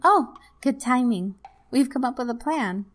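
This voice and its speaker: A woman's voice: sits high, animated, a little slow, faint music behind. A woman voicing an earnest, assertive young resistance leader in an amateur video game dub. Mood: hopeful.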